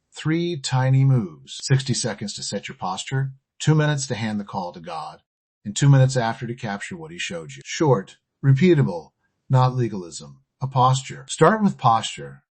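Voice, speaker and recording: A man's voice: medium pitch, slightly rough. A man hosting a podcast, giving scripted motivational teaching in short, emphatic, earnest phrases. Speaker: podcast host.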